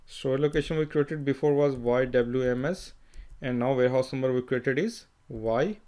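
A man walking through a screen-recorded software tutorial, plainly explaining each step with fillers and self-corrections. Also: medium pitch, normal speed, clean tone. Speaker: software trainer.

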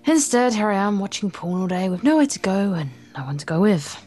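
A woman's voice: mid-range voice, sing-song, fairly quick, darkish tone, faint music behind. A woman voicing a bitter, lonely teenage schoolgirl from a dating game, guarded and dramatic in her inner monologue. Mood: brooding, weary. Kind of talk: visual novel inner monologue read aloud.

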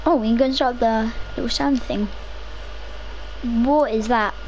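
A young woman chatting casually over her own gameplay, rambling to herself, her voice a little rough from a cough. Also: a little high, a little slow, a little mellow, a little hiss or hum, rough recording. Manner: chatty, rambling, self-talking. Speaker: gaming video creator.

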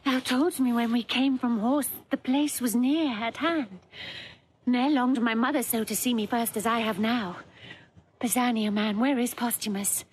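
An actress playing an anguished, proud young princess and wronged wife in impassioned, declamatory classical Shakespearean audio drama. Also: female voice, a little high, fairly even intonation, unhurried, slight background noise, close to an everyday voice. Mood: anxious, urgent.

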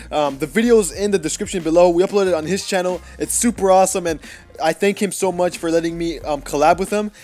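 Joking, excitable nineteen-year-old guy. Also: a little high. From Mexico. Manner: excitable, joking, rambling with lots of fillers. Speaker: mobile gaming YouTuber. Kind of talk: casual gameplay commentary voice-over. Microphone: voice-over recorded apart from the gameplay.

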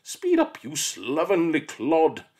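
Storybook villain: male reader doing a shrill, bellowing elderly headmistress, cruel and forever enraged. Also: wildly swooping pitch, unhurried, exaggerated voice. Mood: scornful. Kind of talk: audiobook character voice.

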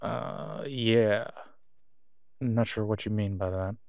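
Man playing a low, halting, guarded guy. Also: fairly even intonation, gravelly, darkish tone, clean tone, dry recording, studio quality. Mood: awkward, wary. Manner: hesitant, halting, low-key. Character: awkward, guarded man discreetly seeking gear to protect someone. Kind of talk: naturalistic audio roleplay acting.

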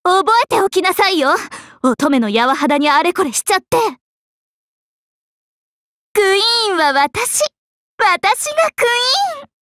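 Very high, coquettish young queen. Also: very animated, takes it slowly, pauses often, a bit bright, dry recording, studio quality, exaggerated voice. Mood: piqued, scolding, then proud, pleased. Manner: coquettish, teasing, self-assured. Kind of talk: Japanese mobile game battle voice lines.

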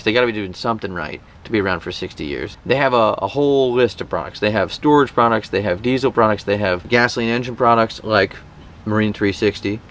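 A man in his thirties hosting a vlog, chatting informally to camera in a casual, animated explainer style outdoors. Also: fairly low pitch. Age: 30s. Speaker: boating vlog host. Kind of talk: casual sponsored talk to camera. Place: outdoors in a boat cockpit at a marina. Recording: faint outdoor marina background noise.

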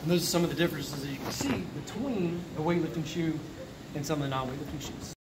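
A man's voice: a little high, fast. A man in his thirties, a coach, explaining squat form to the camera in an upbeat, instructive way inside a large gym. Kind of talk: coaching demonstration. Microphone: camera mic from across the room. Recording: echoey gym from far off, noisy.

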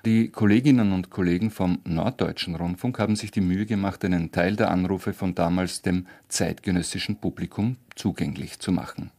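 Guy narrating as radio presenter, very low husky voice, reading a script. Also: a little mellow.

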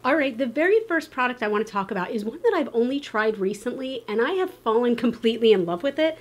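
A woman in her thirties making a casual beauty vlog, chatty and emphatic, her voice slightly hoarse. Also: a little high, animated, fast talker. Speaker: beauty vlogger. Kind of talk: casual vlog with sponsor read. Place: backyard outdoors, then indoor room.

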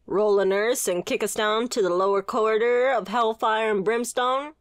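A woman's voice: fairly high pitch, normal speed, dry recording, natural voice. A woman playing a wry, flirtatious female mafia boss with a sardonic, conversational manner in a scripted ASMR roleplay. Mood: exasperated.

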